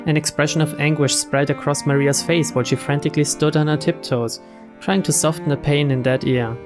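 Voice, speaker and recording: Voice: medium pitch, fairly even intonation, fairly quick. A narrator giving a scripted reading of a story from a visual novel. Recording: voice over clear string music.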